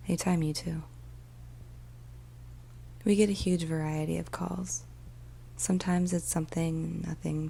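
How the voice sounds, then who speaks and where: A woman voicing a weary, troubled police emergency dispatcher in a soft, often whispered ASMR storytelling monologue, speaking slowly and deliberately. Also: lowish voice, creaky, a little hiss or hum. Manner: slow, hushed, deliberate, with pauses. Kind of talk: ASMR storytelling, first-person dramatic monologue.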